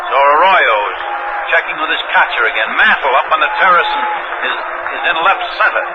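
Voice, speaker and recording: A man doing measured, descriptive live play-by-play of a baseball game as a 1960s radio announcer, often restating details. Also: on the high side, lively intonation. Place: live at a ballpark during a game. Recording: noisy, muffled vintage 1960s radio broadcast.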